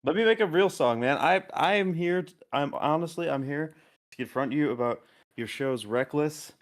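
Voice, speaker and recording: A man's voice: fairly high pitch, lively intonation, normal speed. A man chatting casually as a podcast guest, joking in a dry, mock-serious way.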